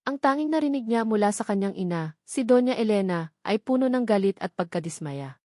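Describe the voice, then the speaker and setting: A woman's voice: medium pitch, animated, a little fast, warm tone, dry recording. Filipino woman narrating, dry close sound. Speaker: story narrator. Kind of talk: dramatic scripted narration of a romance story.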